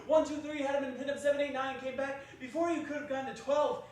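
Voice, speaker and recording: A man's voice: extremely high pitch, fairly even intonation, fast, darkish tone. A man giving theatrical scripted narration in an emphatic storytelling manner. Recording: noisy echoey hall from far off.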